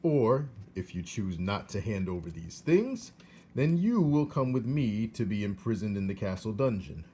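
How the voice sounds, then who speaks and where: A man's voice: lowish voice, sing-song, slight background noise. A man doing a stiff, dutiful king's soldier. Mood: official. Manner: formal, measured, loud official tone.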